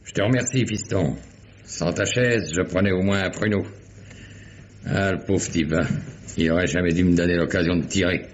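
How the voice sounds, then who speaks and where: An actor plays an old male country sheriff with a low voice. He is folksy, paternal and unhurried, steady under pressure. It sounds like a vintage radio drama, muffled with faint noise. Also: lightly acted. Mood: regretful, relieved. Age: older. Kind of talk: 1950s French radio mystery acting.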